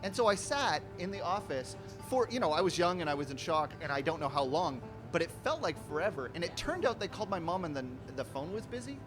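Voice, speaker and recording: A man's voice: a little high, expressive, quick pace, few pauses. A man casually telling a rambling personal story, acting out bits of dialogue, with guitar music playing behind him.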